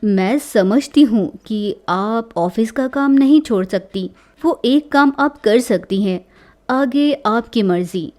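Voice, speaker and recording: Sly young woman posing as a doctor, voiced by a woman, measured, advising, slightly high, slow pace, comic storybook. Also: a little mellow. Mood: serious, warning. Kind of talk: Hindi family comedy storybook acting.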